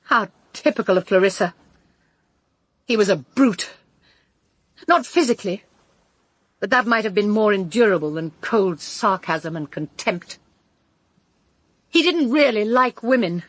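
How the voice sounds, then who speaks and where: An actress playing a brittle, sharp-tongued woman suspect in a radio drama, with a British accent and an emphatic, cutting delivery. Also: medium pitch, lively intonation, unhurried, long pauses, no room sound. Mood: bitter. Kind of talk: full-cast radio drama dialogue.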